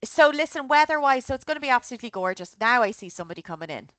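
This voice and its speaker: A woman's voice: high voice, animated, very fast, a bit bright, no room sound. Irish woman, upbeat travel briefing, video call audio, dry sound. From Ireland. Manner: chatty and upbeat, often checks in with "okay?". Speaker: travel company presenter. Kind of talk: online group trip briefing.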